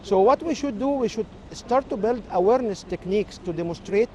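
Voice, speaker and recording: A man with an Egyptian accent and native Arabic, giving measured, explanatory interview answers as a technology expert. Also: high voice, lively intonation, mellow, highs cut off, a little hiss or hum.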